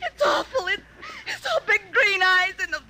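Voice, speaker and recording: A woman's voice: very high-pitched, very animated, moderate pace, heavily acted. An actress playing a terrified young woman in shock, sobbing and pleading in a 1950s radio drama. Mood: hysterical. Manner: screaming, sobbing pleas. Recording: noisy old radio broadcast.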